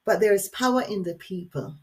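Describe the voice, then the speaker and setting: An energetic woman with a Jamaican accent running a workshop. She speaks to her audience directly and puts questions to them, at a pitch that sits in the middle of the usual range for a woman. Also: brisk. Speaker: workshop facilitator. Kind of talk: live webinar workshop presentation.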